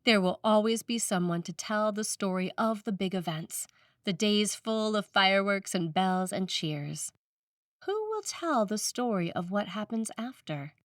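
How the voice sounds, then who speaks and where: Female preacher, mid-pitched, scripted sermon delivery, measured phrasing, dry but slightly off-mic. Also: animated, dry recording. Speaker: priest reading a sermon. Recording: slightly distant microphone, dry room.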